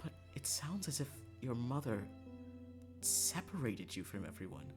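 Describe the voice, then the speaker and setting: A streamer voicing a gentle young man in disguise from a visual novel, speaking softly and carefully. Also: medium pitch, big pitch swings, normal speed, music in the background, close to an everyday voice. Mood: gentle, sad.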